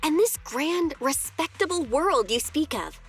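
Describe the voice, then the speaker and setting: A woman's voice: high voice, animated, unhurried, a bit bright, soft background music, heavily acted. A woman voicing an earnest, outspoken young female envoy in a video game, with a frank manner. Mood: challenging, indignant. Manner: frank, challenging. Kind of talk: scripted video game voice acting.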